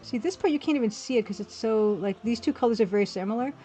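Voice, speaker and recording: A woman's voice: fairly high pitch, fast, dark tone. A woman hosting an art livestream, chatting informally and thinking aloud as she works and replies to chat. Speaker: livestream host and pixel artist. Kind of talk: casual chat while drawing on a livestream. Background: music.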